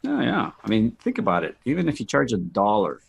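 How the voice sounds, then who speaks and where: A man's voice: lowish voice, huge pitch swings, a little fast, vocal fry. A man chatting casually as a participant in an online group meeting. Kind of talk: casual chat.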